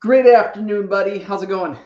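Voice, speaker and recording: A man's voice: high voice, brisk, darkish tone, echoey room, muffled recording. A man in his late thirties co-hosting over a video call, teasing and wry. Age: 30s. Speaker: co-host. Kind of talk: casual video-call chat.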